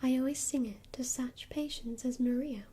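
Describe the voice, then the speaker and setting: A woman whispering the lines of a strange old male clockmaker godfather in a grating, monotonous manner. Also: sits high, moderate pace, slightly rough. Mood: teasing.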